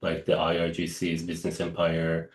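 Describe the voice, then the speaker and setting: A man's voice: deep voice, completely flat delivery, moderate pace, reverberant. A man from Iran in his thirties, a guest analyst speaking earnestly in a press briefing, often restarting his sentences. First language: Persian.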